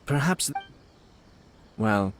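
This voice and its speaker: An actor playing a composed young nobleman in a video game, speaking in polite, measured, formal phrases. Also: on the low side, expressive, slight background noise. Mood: calm, deliberating. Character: composed, courteous young nobleman and clan commissioner.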